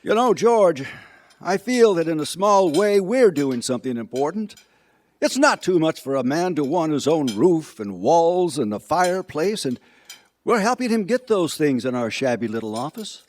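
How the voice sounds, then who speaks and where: An actor in a live radio play playing a gentle, weary older father, hesitant, earnest and folksy. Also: a little high, sing-song, moderate pace.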